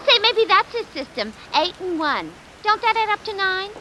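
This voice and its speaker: High, gabby streetwise counter girl, noisy old radio. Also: big pitch swings, normal speed. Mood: gabby, excited. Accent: American. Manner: breezy, slangy, gabby. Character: chatty streetwise woman running a shop counter. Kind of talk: 1940s radio acting. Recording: noisy vintage radio, rain over it.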